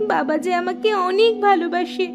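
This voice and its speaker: A woman's voice: very high voice, normal speed, over music. A woman voicing a sad, pleading young girl in a cartoon story. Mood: sorrowful. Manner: plaintive, pleading. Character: sad, mistreated young stepdaughter, pleading and sweet.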